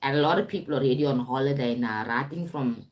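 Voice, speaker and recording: A woman with a low-pitched voice and a South African accent speaks as a life coach on an informal livestream. She is emphatic, repeats her phrases and often tags them with a short check-in to her listeners. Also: fast. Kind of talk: informal motivational livestream talk.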